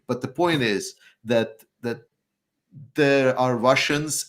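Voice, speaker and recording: A man with a lowish voice talks as a guest on a live chat. He rambles, stalls on fillers and restarts, and gets heated at times. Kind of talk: casual chat.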